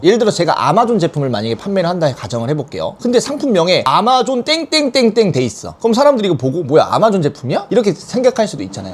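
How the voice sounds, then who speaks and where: A man giving a step-by-step software tutorial as an instructor, often asking questions and answering them himself. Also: high-pitched. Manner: asks and answers own questions, acts out example thoughts. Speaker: online-selling instructor and software founder. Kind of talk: live product tutorial, explaining step by step.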